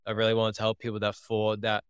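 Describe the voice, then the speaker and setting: Low, smooth, even-toned man from England. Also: quick pace, no echo, studio quality. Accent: Liverpool, living in Australia. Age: 20s. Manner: earnest, steady storytelling. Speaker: podcast guest. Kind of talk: casual interview talk.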